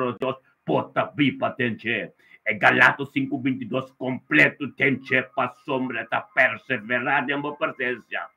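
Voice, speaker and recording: Guy preacher praying in short repeated bursts, kinda high voice for a man, pretty even tone, sounds like a phone. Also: vocal fry. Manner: fervent, repetitive invocations in short emphatic bursts. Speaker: preacher leading a prayer. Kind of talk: extemporaneous devotional prayer of thanksgiving and blessing. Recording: tinny, phone-like band-limited sound.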